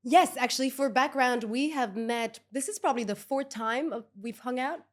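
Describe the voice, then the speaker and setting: A young woman with a softened Irish accent, co-hosting a podcast in a relaxed, dry-humored way. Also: sits high, moderate pace. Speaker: podcast co-host. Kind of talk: casual chat.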